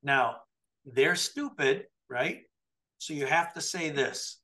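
A man in his forties talks a client through a document over a webcam call, pressing each point and tagging it with "right?". His voice sits a little high for a man and rings bright and forceful. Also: expressive, takes it slowly. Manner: emphatic, keeps checking in with "right?". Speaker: trucking compliance coach. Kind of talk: coaching review over a video call. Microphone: webcam video call.

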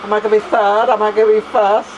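A woman's voice: mid-range voice, flat delivery, fast talker, highs cut off, noisy background. An older woman with an English accent hosting a studio interview, chatty and rambling with frequent laughter. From England. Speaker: TV host. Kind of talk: casual TV interview. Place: studio set with sofa and flowers.

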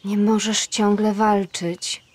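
A woman acting a loving medieval princess in a children's radio play, tender and gently persuasive. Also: medium pitch, level tone, slow. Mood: gentle warning.

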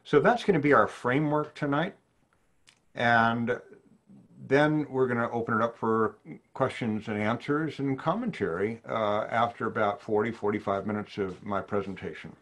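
An older man from New Orleans, a psychiatrist giving a measured webinar lecture to physicians over slides, often posing questions to his listeners, heard through a webcam in his home study. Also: mid-range voice, a bit bright. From New Orleans, USA. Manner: measured, thoughtful, poses questions to the audience. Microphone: video call webcam.

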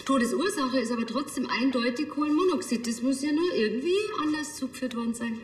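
An actress playing a brisk, sarcastic forensic pathologist in a TV crime comedy, matter-of-fact in manner. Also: female voice, high-pitched, echoey room, in a large hall, a little hiss or hum, natural voice. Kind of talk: naturalistic TV crime comedy acting.

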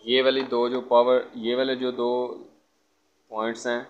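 A man giving an informal hands-on tutorial as an electrician, explaining step by step and often checking with a quick okay. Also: mid-range voice, level tone. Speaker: electrician presenter. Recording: dull lo-fi phone audio, background noise.

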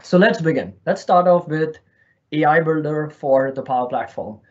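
A man's voice: a little high, moderate pace, muffled recording. A man from India with a slight Indian accent, presenting a virtual conference session in a friendly, explanatory way over an online call. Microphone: remote call mic.

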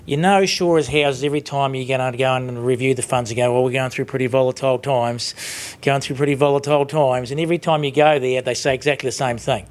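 A man's voice: mid-range voice, steady tone, quick pace. A man in his fifties with a New Zealand accent gives an informal, conversational and rambling closing speech at a podium as an industry leader. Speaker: industry leader giving closing conference address. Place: conference room with seated audience, indoors. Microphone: lectern microphones.

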